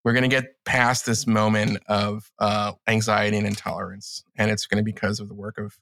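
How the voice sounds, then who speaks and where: A man with a cold and a raspy voice, chatting casually as a podcast guest and rambling through restarts and fillers. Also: fairly low pitch, level tone, a little fast, a bit bright, dry recording, clean studio sound. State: has a cold. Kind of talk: casual political chat.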